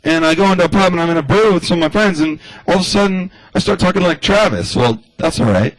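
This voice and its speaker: A man riffing through a stand-up monologue, like a radio address, loose and chatty with plenty of asides. His voice sits a little high and swings up and down a lot as he talks. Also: lively intonation, fast, no room sound, poor audio. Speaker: stand-up comedian. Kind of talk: rambling comic monologue in radio-address style.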